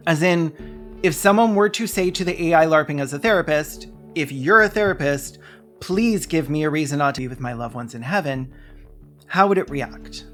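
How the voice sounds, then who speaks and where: Video essay host, medium pitch, sarcastic and dry-witted scripted narration, light music underneath. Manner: sarcastic, wry deadpan jokes. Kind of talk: scripted narration with comic asides.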